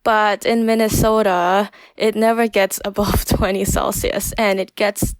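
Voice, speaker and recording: A woman's voice: mid-range voice, level tone, measured pace, clean tone, no room sound, rough recording. A young woman, a guest on a podcast, chatting casually and laughing often. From Thailand. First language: Thai. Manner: giggly, frequent laughs and "like" fillers. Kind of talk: casual interview chat.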